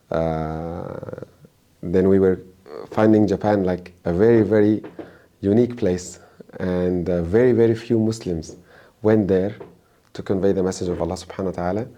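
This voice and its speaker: Low, mellow Egyptian man giving religious advice. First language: Arabic. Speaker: Islamic preacher and guest.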